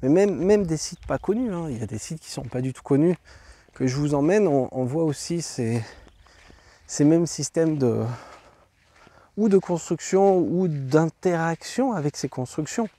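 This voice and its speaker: A man's voice: fairly high pitch, animated, a little slow, warm tone. A man hosting a travel vlog, stuffed up from a cold, rambling with many fillers and restarts as he tours a site outdoors. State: congested from a cold. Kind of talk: walking tour commentary.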